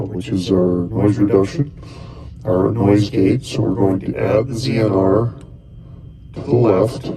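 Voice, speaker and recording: Gravelly low-voiced man walking through settings. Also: poor audio. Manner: talks while pressing buttons, thinking aloud, loose restarts. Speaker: costuming hobbyist giving a tutorial. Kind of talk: casual step-by-step how-to.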